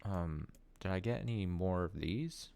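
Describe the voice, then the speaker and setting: A man streaming a video game, chatting excitably and reading item descriptions aloud. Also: low voice, a little slow, vocal fry, slight background noise. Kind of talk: casual gameplay commentary.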